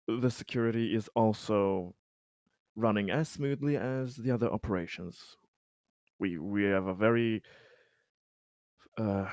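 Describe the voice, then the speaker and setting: A man playing an earnest, diplomatic colony official in a tabletop roleplay, speaking formally in wordy, halting phrases. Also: lowish voice, a little mellow, dry recording, clean studio sound. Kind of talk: improvised tabletop roleplay dialogue.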